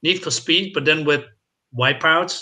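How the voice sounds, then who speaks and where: A man's voice: a little high, measured pace, pushed and bright. A man chatting casually as a podcast co-host, enthusiastic and swearing freely. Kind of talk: casual chat.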